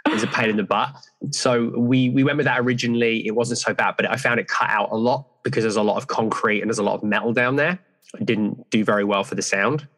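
A man with a deeper voice, a fitness coach speaking as a podcast guest in a relaxed, rambling way. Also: fast. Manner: relaxed, rambling with fillers like "so yeah". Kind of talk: casual interview chat.

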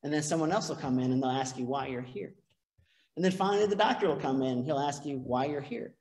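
A man's voice: medium pitch, fast talker, darkish tone. A middle-aged man, a priest, giving a conversational sermon from the pulpit with self-deprecating asides. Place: church sanctuary, behind a pulpit. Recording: long church reverb.

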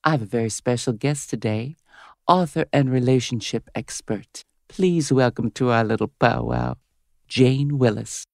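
A radio host in her fifties in a comedy audio drama. She is brash and cynical, with a gravelly, creaky smoker's voice recorded dry and close. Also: very deep voice, moderate pace, a little mellow, no echo. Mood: syrupy on-air. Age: 50s. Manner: brash, foul-mouthed, switching to syrupy on air. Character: crude, cynical, hard-bitten 57-year-old female radio host.

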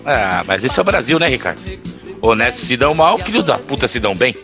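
A man's voice: medium pitch, very animated, a little fast, music in the background. A man playing a cynical, gloating schemer in an audio drama, with a sardonic manner.

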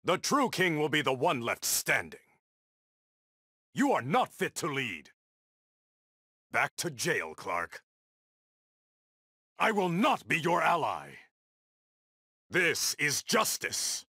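A man's voice: on the high side, huge pitch swings, slow, pauses often, bright, forceful tone, breathy edge, dry recording, clean studio sound, exaggerated voice. An actor playing a proud, stern undersea warrior king in a video game, with a regal, defiant, declamatory delivery. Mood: commanding, angry. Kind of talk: video game battle dialogue.

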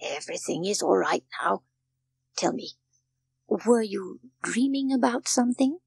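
Woman playing a kindly grey-haired gentleman doctor, husky. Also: on the high side, sing-song, normal speed, no echo, full character voice. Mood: kindly, soothing. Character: kindly, jovial grey-haired male doctor, calm and reassuring.

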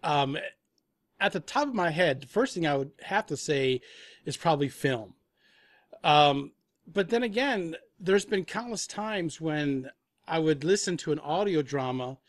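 A man gives a casual interview in a slightly high voice. He muses hesitantly and repeats himself as he goes. The recording is very dry. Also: lively intonation, a little slow, no room sound. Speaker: film and audio drama composer, interview guest.

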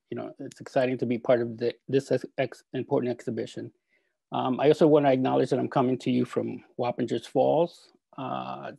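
A man in his forties from El Salvador, an artist talking over a video call from his studio, halting with many fillers and restarts. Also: mid-range voice, creaky, dark tone, slightly rough, no room sound. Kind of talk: video call talk with slides. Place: home art studio. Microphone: earphone mic on video call.

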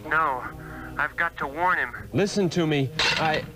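An actor playing a skeptical, earnest doctor in a radio drama, speaking dramatically in short phrases. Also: male voice, fairly high pitch, measured pace, music in the background, lightly acted. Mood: desperate, bitter. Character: skeptical, earnest Western male doctor turned seeker. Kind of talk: 1960s-era radio drama acting.

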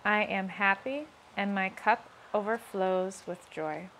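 A woman slowly and calmly leading guided self-affirmations, repeating each line twice. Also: medium pitch, a little hiss or hum, low-quality recording. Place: outdoors on a rainy day.

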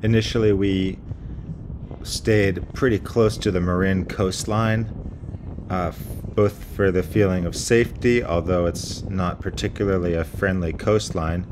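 Low American male narrator, laid-back and self-mocking, noisy water sounds underneath. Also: measured pace. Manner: relaxed, self-deprecating storytelling. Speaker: sailing vlogger. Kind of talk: voice-over narration of a sailing trip.

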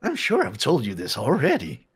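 A woman voicing a sadistic robot teddy bear mascot for a game, raspy, theatrical and taunting, with mock politeness. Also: very deep voice, wildly swooping pitch, normal speed, soft background music, heavily acted. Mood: teasing. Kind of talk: video game voice-over dub.

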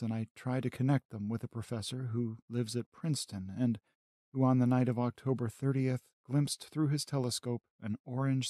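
A man playing a haunted astronomy professor and lone survivor, narrating his journal in a brooding, deliberate, theatrical way. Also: mid-range voice, warm tone, no room sound, clean studio sound, natural voice. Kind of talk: dramatic first-person journal narration.

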